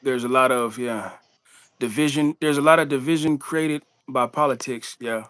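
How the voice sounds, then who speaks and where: A man's voice: mid-range voice, normal speed, a bit bright, no room sound. A man co-hosting a casual podcast chat, teasing and laughing often as he reads out audience questions. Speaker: co-host.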